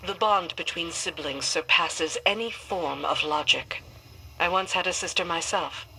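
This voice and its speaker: Game role, earnest veteran soldier: very sharp, forceful tone, faint background noise. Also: female voice, on the low side, measured pace, poor audio. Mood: earnest, resolute. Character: loyal, battle-hardened female soldier, earnest and resolute. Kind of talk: dramatic video game dialogue.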